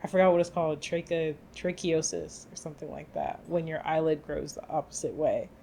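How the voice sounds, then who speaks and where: A woman's voice: low-pitched, fairly even intonation, brisk, mellow, a little hiss or hum. A young adult woman co-hosting a podcast in casual chat, talking loosely with plenty of "like" fillers. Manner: chatty, many "like" fillers. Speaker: podcast co-host.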